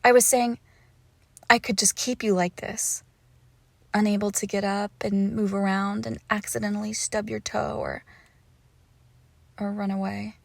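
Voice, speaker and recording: A woman playing a lovesick, possessive witch in an intimate ASMR roleplay, coaxing and teasing her captive, slipping between soft speech and slow whispers. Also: medium pitch, little pitch movement, creaky, dry recording. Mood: playful, coaxing.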